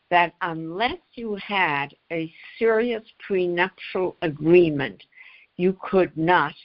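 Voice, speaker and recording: An older woman from the United States speaking as an interview guest, pausing often mid-phrase as she recollects and explains. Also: low voice. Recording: slightly dull, lo-fi audio.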